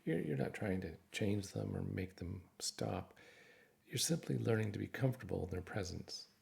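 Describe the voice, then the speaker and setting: A man narrating a guided meditation in a gentle, reassuring, instructive manner. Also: low-pitched, moderate pace, gravelly.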